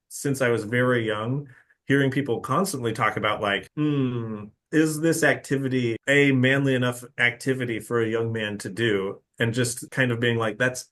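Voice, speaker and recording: A man in his thirties, a guest on an interview show, talking in a relaxed, reflective way with frequent laughs and filler words. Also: medium pitch. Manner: reflective, laughs often, uses "like" a lot. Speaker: podcast guest, artist.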